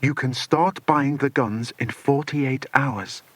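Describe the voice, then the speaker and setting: A man voicing a cold, confident male villain in a children's audiobook, speaking with deliberate menace. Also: medium pitch, a bit bright, no room sound. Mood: scheming.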